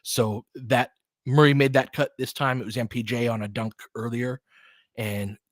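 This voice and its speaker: A man's voice: medium pitch, fairly even intonation, normal speed, dry recording, clean studio sound. An American man giving casual, conversational play-by-play commentary as a stream host, analytical and explanatory in the way he talks.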